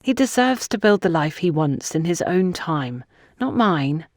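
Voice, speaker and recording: A woman voicing a guarded, polite younger woman in an audiobook, measured and quietly resolute. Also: lowish voice, lively intonation, no room sound, studio quality. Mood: careful, regretful.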